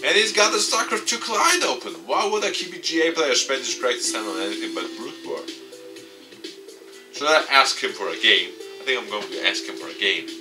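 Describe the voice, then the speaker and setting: A man's voice: medium pitch, animated, a little fast, recorded from a distance, over music. A man reading a visual novel aloud and commenting as he plays, as a gaming video host. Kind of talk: let's play, reading game text aloud.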